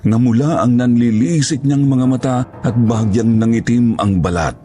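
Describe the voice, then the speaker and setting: A man's voice: medium pitch, moderate pace, dark tone, faint music behind. A man reading scripted first-person narration of a horror story.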